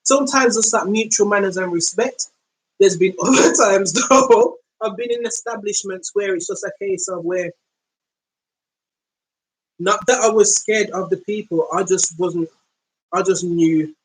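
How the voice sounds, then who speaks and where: A woman podcast host with a London accent talks in a loose, slangy, conversational way. She tells her story as a casual monologue. When she quotes someone, she slows down and speaks with deliberate weight. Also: mid-range voice, a little hiss or hum, rough recording. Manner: conversational, slangy, acts out quoted speech in slow, deliberate tones.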